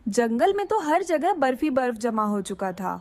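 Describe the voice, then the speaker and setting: A woman narrates a scripted children's cartoon tale. Her voice is high, with very lively, swinging intonation. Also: normal speed.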